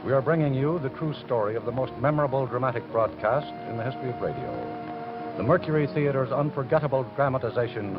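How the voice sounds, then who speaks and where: Grave narrator, male actor, documentary style, expressive pitch, dull lo-fi soundtrack. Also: fast talker, close to an everyday voice. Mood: solemn. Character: grave male narrator of a true historical account. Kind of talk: solemn scripted documentary narration. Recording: dull lo-fi old television audio, music behind.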